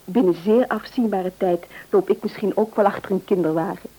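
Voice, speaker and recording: A woman's voice: medium pitch, fairly even intonation, a little fast, mellow, a little hiss or hum, natural voice, low-quality recording. A woman playing a dutiful, eager grown-up daughter in a radio play, chatty and polite with some hesitancy. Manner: chatty, polite, hesitant when asking favours. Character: Annie, dutiful, eager married adult daughter.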